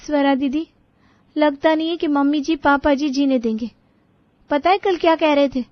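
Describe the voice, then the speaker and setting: A woman's voice: high-pitched, normal speed, no room sound, voice pushed up, close to an everyday voice. A woman voicing a fed-up young daughter-in-law in a family story, grumbling and gossipy. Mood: complaining. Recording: dull lo-fi audio.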